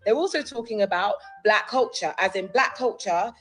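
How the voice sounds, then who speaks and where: A woman's voice: medium pitch, normal speed, fairly bright tone, soft background music. A woman talking straight to camera in a social media clip, earnestly explaining her view point by point. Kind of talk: direct-to-camera social video.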